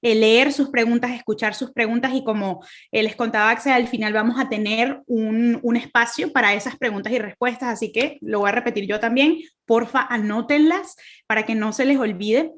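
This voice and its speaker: A woman with a Caracas Venezuelan accent speaks over a video call, her voice sitting a little high. She talks earnestly, pausing often with fillers and starting her sentences again. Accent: Venezuelan Spanish, Caracas. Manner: earnest, many fillers and restarts. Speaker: guest speaker. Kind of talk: online faith talk.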